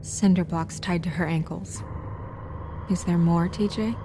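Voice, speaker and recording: An actress playing a composed, businesslike woman official in a video game, measured, matter-of-fact and dryly determined. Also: on the low side, steady tone, noisy background. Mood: concerned.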